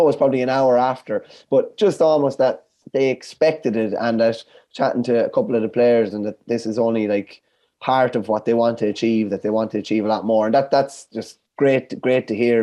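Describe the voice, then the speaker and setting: Irish guy on a video call, a bit croaky, mellow voice, chatty and keen. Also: mid-range voice. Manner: enthusiastic, chatty. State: slightly croaky voice. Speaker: podcast co-host. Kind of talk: casual sports chat. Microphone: webcam video call.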